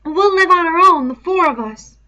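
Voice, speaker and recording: A woman voicing an anxious, stubborn schoolboy in a storybook, shrill and defensive, with ungrammatical boyish speech. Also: lively intonation, moderate pace, bright, forceful tone, heavily acted. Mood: stubborn, eager. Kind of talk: storybook read-aloud character voice.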